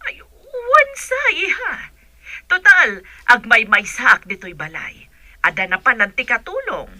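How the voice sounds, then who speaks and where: An excitable middle-aged Ilocano mom in a radio drama, chummy and expressive. Her bright voice swoops dramatically up and down and lingers over words, recorded dry and close. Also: on the high side, no room sound, exaggerated voice. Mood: coy. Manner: animated, drawn-out, expressive. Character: excitable mother and soon-to-be grandmother, chummy with her friend.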